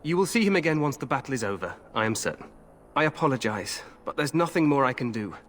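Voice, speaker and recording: An actor playing a confident, glory-hungry young king in a fantasy game, regal, grand and expressive. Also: medium pitch, fast. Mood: regretful, regal. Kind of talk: fantasy video game acting.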